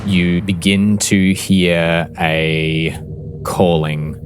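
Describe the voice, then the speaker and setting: Deep voiced guy running a tabletop rpg, slow measured descriptive storytelling, music under him. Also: flat delivery. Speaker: game master. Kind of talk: dramatic tabletop game narration. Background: background music.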